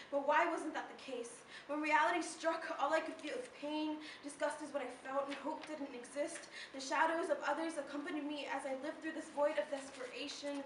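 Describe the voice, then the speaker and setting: Teen female slam poet, high voice, solemn measured phrasing, echoey live recording. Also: fairly bright tone. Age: teenager. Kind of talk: slam poetry performance. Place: live poetry event, recorded from a distance. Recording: distant echoey room recording with noise.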